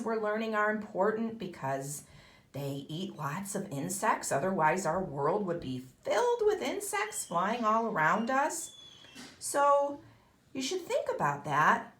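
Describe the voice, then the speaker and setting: A woman's voice: medium pitch, fairly bright tone. A woman kindergarten teacher giving a read-aloud lesson to young children, explaining in simple, emphatic phrases. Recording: echoey room.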